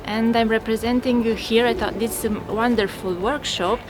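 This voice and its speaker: A woman's voice: on the high side, steady tone, moderate pace, low-quality recording. A woman from Romania, representing her university faculty and speaking enthusiastically, with some fillers, in an event interview. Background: background music.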